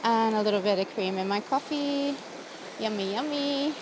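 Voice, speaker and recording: Chatty American woman vlogging outdoors; a touch high, quick to laugh, faint outdoor hiss behind. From USA. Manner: chatty and giggly, talking to camera while cooking. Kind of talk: casual outdoor vlog chat. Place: outdoors by a campfire in the woods.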